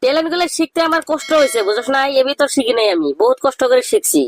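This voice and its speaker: A man voicing a cheeky, boastful young village know-it-all in a comedy cartoon, with a rural Bangladeshi accent and a pitched-up voice. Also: animated, rapid-fire, dry recording, studio quality. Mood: boastful, teasing. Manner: bragging, teasing, declamatory.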